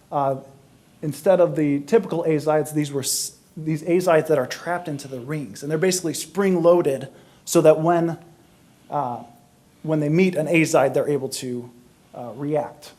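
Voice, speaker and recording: Higher-pitched male lecturer, halting delivery. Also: a little mellow. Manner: halting, searching for words. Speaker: university lecturer on a science panel. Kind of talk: explanatory academic lecture.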